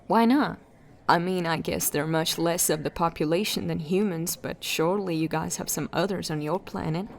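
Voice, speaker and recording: An actress playing an earnest, inquisitive detective who is puzzling over a case in a sci-fi audio drama. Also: female voice, on the low side, normal speed, gravelly, slight background noise. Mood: curious, friendly. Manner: earnest, questioning.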